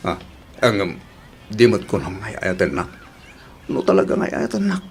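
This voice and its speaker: A young Filipino boyfriend, pleading and emotional. His low voice moves restlessly up and down in radio drama style, over a noisy recording. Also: huge pitch swings, normal speed, vocal fry, full character voice. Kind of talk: Ilocano radio drama acting.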